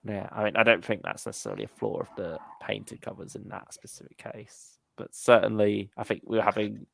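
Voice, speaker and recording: A man's voice: lowish voice, big pitch swings, fairly quick, vocal fry, fairly bright tone, no room sound. A man co-hosting a fan stream, chatting casually and musing as he goes, sometimes trailing off. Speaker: co-host of a fan discussion stream.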